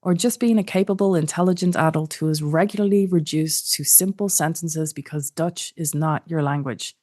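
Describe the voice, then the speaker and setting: A woman with a fairly low voice hosts a podcast. She presents and asks questions in measured phrases, leaning on key words. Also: darkish tone. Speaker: podcast host. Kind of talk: scripted introduction and interview.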